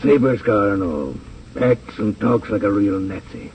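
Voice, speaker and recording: A man's voice: on the low side, animated, a little slow, highs cut off. Guarded businessman, distant vintage radio. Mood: rueful. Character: proud, reluctant swindled American businessman. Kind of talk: 1950 American radio crime drama acting. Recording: hissy old radio transcription, distant.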